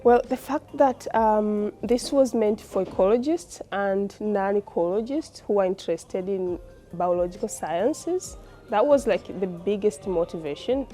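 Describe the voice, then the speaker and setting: Female, Tanzanian accent, soft dark tone. Student testimonial, informal and explanatory. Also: medium pitch, measured pace, faint music behind. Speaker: postgraduate student.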